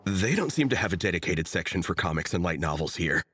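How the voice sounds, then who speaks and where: A man's voice: low voice, very animated, quick pace, fairly bright tone, soft background music. An actor voicing an earnest, nerdy young man in a video game, talking at length with enthusiasm and a self-deprecating streak. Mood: indignant. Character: earnest nerdy young man, devoted mecha comic collector. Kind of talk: English video game voice acting.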